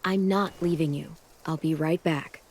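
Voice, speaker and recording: An actress playing a caring, resolute teenage girl survivor in a video game drama, with a husky voice. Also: low voice, fairly even intonation, a little slow, a little mellow. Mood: resolute, reassuring.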